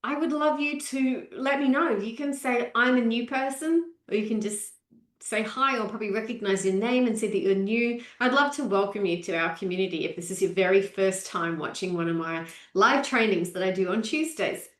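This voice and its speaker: A woman's voice: mid-range voice, normal speed. Upbeat woman in her forties, roomy echo. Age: 40s. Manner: upbeat, greets viewers by name. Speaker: nutrition coach. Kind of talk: live-stream training.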